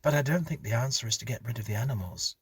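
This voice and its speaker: A man's voice: mid-range voice, lively intonation, fast talker, breathy edge, no room sound, voice pushed up. A man voicing a doubtful, protective wife and mother in a story reading, hesitant and pleading.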